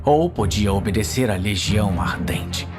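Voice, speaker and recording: A man with a Brazilian accent narrating a fantasy audio series in a solemn, suspenseful, measured style. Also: fairly low pitch, animated. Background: dramatic music.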